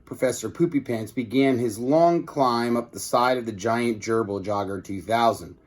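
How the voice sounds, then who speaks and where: Man reading aloud, medium pitch. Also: normal speed. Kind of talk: children's read-aloud with casual asides.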